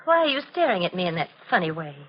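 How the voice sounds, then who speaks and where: An actress playing an innocent, excitable young wife in 1940s radio style. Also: female voice, on the low side, fast. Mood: girlish, excitable. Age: young adult. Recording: muffled old radio recording, light hiss.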